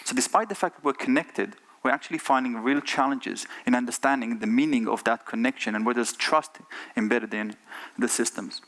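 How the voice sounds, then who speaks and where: A man's voice: medium pitch, fairly quick, a bit bright. A middle-aged man from Israel with an Israeli accent, giving an earnest keynote talk on a conference stage and putting rhetorical questions to the audience. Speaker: conference speaker.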